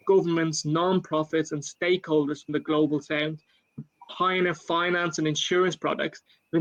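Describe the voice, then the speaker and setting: An Irish man speaks on a panel in a fairly high, flat voice that barely rises or falls. He talks in a measured way, pausing on fillers and restarting phrases. The sound comes over a video call and is dull and lo-fi. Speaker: panel speaker for a national government. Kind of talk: panel discussion answer.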